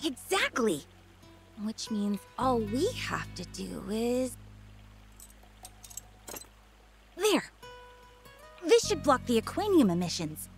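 Tiny polite creature mechanic in a game, really high n animated, chirpy, earnest. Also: female voice, slow pace, vocal fry, faint music behind, full character voice. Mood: proud, excited. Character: eager, polite little desert creature mechanic. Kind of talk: video game voice acting.

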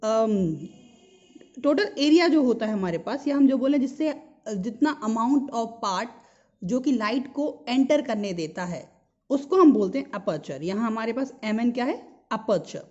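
An Indian-accented woman explains a school lesson in a fairly high, very mellow voice. She is didactic, restating key terms and posing questions that she answers herself. The recording is somewhat dull and lo-fi. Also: expressive, a little slow. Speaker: physics teacher. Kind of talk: explanatory school lesson mixing Hindi and English. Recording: dull lo-fi sound.

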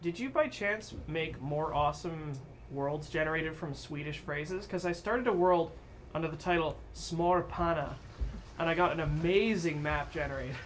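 A man on a charity stream asks the guest a playful question and jokes along. His voice sits a bit high. A faint hiss runs in the background. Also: moderate pace. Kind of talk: casual chat.

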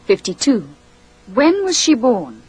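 A woman reading a scripted language course drill, slowly and with careful enunciation. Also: fairly high pitch, huge pitch swings. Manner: slow, deliberate, carefully enunciated.

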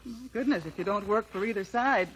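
A woman's voice: mid-range voice, a little fast, close to an everyday voice. A woman playing an admiring, sociable American sister, polite and effusive, in an old-time radio drama. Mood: admiring, curious. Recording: slightly noisy vintage radio recording.

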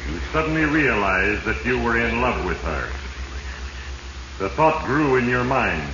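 An echoing, muffled vintage radio recording of Fate, a male narrator. He is ominous, theatrical and sinister, over clear noise. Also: fairly low pitch. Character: Fate, sinister male storyteller delighting in others' doom. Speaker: host and narrator. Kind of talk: heavy-handed second-person 1940s radio narration. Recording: echoey, noisy, muffled 1940s radio recording.

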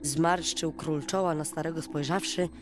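A woman narrating a scripted fairy tale for children at bedtime, in an expressive storytelling manner. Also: sits low, moderate pace. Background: music.

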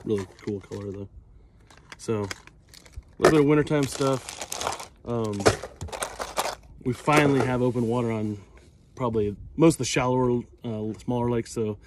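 A man, hosting a casual fishing vlog, talking in a relaxed, rambling way with many fillers, recorded outdoors on a phone held at arm's length. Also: medium pitch, normal speed, long pauses. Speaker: fishing channel host. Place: outdoors in a driveway by a boat. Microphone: phone camera at arm's length. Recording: outdoor recording with noticeable noise.

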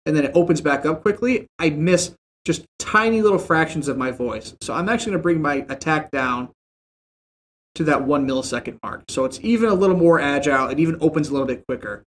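A young man in a home streaming room who explains audio settings in a relaxed, rambling way. He uses lots of fillers, and his desk mic sits about a foot and a half from him. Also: fairly high pitch, a little fast, slight background noise. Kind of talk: casual screen-share tutorial. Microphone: desk mic about a foot and a half away, headset on.

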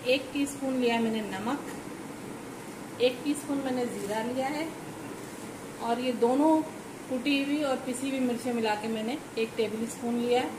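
A woman with a fairly high voice explains a home recipe step by step in a calm, instructive way, repeating key points as she works. Her voice is recorded in an echoey kitchen. Clear background noise runs underneath. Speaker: cooking channel host. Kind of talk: home cooking demonstration.

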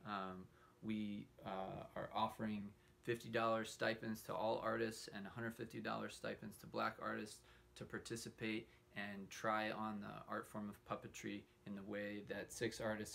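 Male host, fry-heavy voice on the low side, halting informal delivery, slightly noisy room recording. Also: fairly bright tone. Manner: halting, pausing often mid-sentence. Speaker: host introducing a puppetry showcase. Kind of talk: informal spoken introduction to a livestream. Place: indoor room hung with fabric backdrops.

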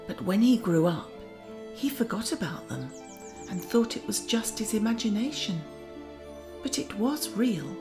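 A woman playing a gentle, kindly magical garden auntie who leads a children's guided meditation in a soothing, often near-whispered voice. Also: mid-range voice. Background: music.

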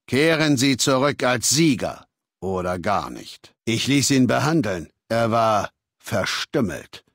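An actor playing a cold, imperious warlord dictator in a video game, speaking in a commanding, haughty, lecturing manner. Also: male voice, medium pitch, very animated, takes it slowly, a bit bright, dry recording, studio quality, full character voice. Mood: stern, lecturing. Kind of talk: video game character dialogue.

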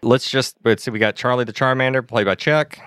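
A man hosting a tabletop game podcast as dungeon master, chatting easily and slowing into measured narration. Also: medium pitch, steady tone, fairly bright tone, smooth, no echo, clean studio sound. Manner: easygoing, measured, slows down when narrating. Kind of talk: casual chat and game narration.

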